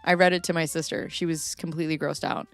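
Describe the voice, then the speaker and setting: A woman's voice: deep voice, flat delivery, fast talker, dry recording. A woman hosting a podcast, chatting casually with wry asides while going through documents. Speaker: podcast host. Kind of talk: casual commentary chat.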